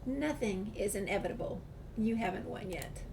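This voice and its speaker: Female gamer, reading dialogue aloud, walkthrough style, middle pitch, clear noise and game ambience. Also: expressive, normal speed.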